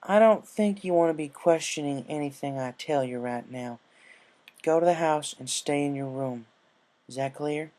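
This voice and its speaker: A man's voice: on the high side, moderate pace, dark tone, pitched above the performer's own voice, close to an everyday voice. A man voicing a stern but caring female farm pony in a storybook, with a folksy, firm, plain-spoken manner.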